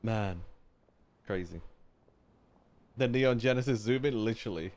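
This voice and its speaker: A man's voice: fairly low pitch, a little slow, darkish tone. Slangy male game streamer reacting. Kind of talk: casual reaction commentary. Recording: game music behind voice. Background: game music.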